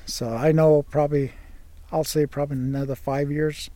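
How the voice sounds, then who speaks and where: A former coal miner, a man with a hoarse, wheezy voice, speaking plainly and earnestly in an interview clip. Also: a little high, level tone, normal speed, mellow. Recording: outdoor field recording with traffic noise.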